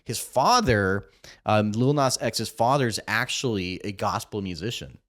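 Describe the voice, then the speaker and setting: Lively-voiced young man hosting a podcast. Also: fairly low pitch, a little slow. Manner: joking, rambling, tells off-color jokes. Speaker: podcast host. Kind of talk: casual chat.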